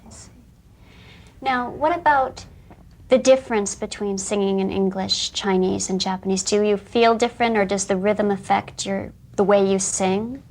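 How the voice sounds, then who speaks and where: A woman hosts an interview. She asks measured, clearly phrased questions and adds short murmurs of attention while the guest talks. Her voice sits at a middle pitch. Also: fairly even intonation, darkish tone. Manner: brief, attentive backchannels. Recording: 1990s broadcast interview.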